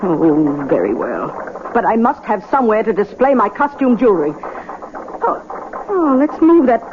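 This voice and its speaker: An actress playing a bossy, sharp-tongued sister-in-law in old-time radio drama, prim, nagging and sarcastic. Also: female voice, mid-range voice, sing-song, unhurried. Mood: huffy, sarcastic. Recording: tinny noisy vintage radio recording.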